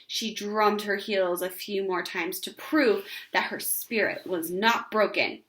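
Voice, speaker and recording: A woman narrating a children's story aloud in an expressive read-aloud style. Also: medium pitch, slow pace.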